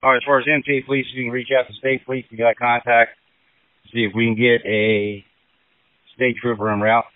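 A man's voice: mid-range voice, flat delivery, a little fast, clear, resonant tone, no echo. A male fire battalion officer talking in emergency dispatch traffic over a two-way radio. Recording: narrow dry two-way radio transmission.

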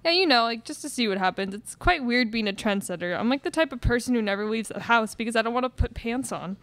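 A woman's voice: on the high side, lively intonation, fast talker, fairly bright tone, no room sound. A young woman acting a bubbly, self-deprecating movie star in a live radio theatre comedy. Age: young adult. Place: college classroom stage, indoors, small audience. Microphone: stand microphone, close.

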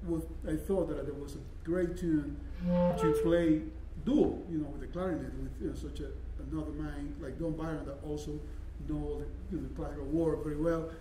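Halting Cuban man, echoey and distant. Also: on the high side, steady tone, music in the background. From Cuba. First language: Spanish. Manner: halting, searching for words. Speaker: jazz pianist. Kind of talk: informal spoken introduction on stage. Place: concert hall stage, indoors. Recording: echoey stage, distant mic, faint noise.

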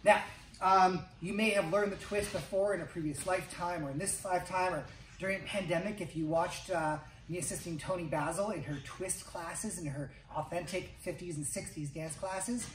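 A man's voice: high-pitched, steady tone, normal speed, far from the mic, slight background noise. Echoey, playful male dance instructor. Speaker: dance instructor and video diary host. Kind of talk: casual instructional vlog. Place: wood-panelled room indoors. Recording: echoey room, away from mic, music.

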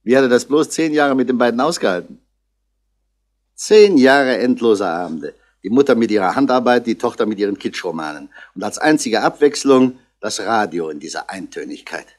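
An actor playing a shrewd, unhurried middle-aged police commissioner in a 1960s German radio crime play, speaking in a laconic, courteous, probing way. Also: male voice, medium pitch, expressive, natural voice. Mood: wry, musing.

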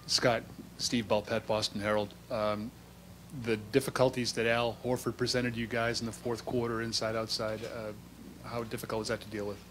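Flat, low male reporter. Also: normal speed. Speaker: newspaper reporter. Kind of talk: asking press conference question. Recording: noisy press room.

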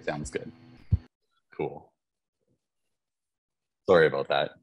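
Low husky young man on a video call. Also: takes it slowly, dry recording. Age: young adult. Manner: relaxed, chatty, many fillers and laughs. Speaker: conference speaker. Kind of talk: casual pre-webinar chat. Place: front of a university lecture hall.

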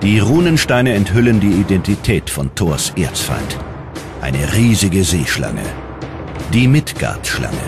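A man with a low voice narrates a scripted documentary. His delivery is dramatic and measured, with wide swings in intonation. Music plays behind him. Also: very animated, vocal fry.

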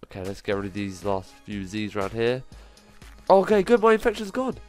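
A man with a cold, sounding nasal, giving excitable commentary as he plays through a survival game, full of quick exclamations. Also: lowish voice, faint music behind. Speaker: gaming channel host. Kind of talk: gameplay commentary.

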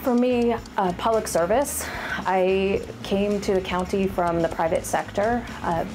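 A woman in her forties from Rhode Island, a county government affairs manager answering quick interview questions in an earnest, plain-spoken way. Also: medium pitch, poor audio. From Rhode Island, USA. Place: office, indoors. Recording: background music underneath.

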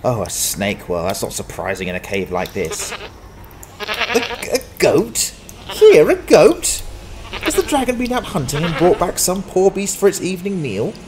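An actor playing a hapless, wry adventurer who mutters and stammers in a dramatized fantasy audiobook. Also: male voice, high-pitched, wildly swooping pitch, a little slow, background noise, full character voice. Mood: startled, stammering. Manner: muttering to himself, stammering, sardonic.

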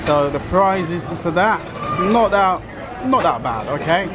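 A man in his thirties vlogging on city streets and in a busy mall restaurant, chatty and excitable, filming himself at arm's length. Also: high voice, a little slow. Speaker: food vlogger. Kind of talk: casual vlog narration. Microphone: handheld camera held at arm's length. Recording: loud background music and crowd noise. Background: loud music and crowd chatter.

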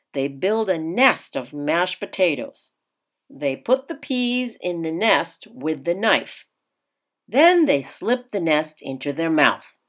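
A woman reading a storybook as an older great-uncle, a patient, worldly, encouraging tutor, measured and instructive. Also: on the low side.

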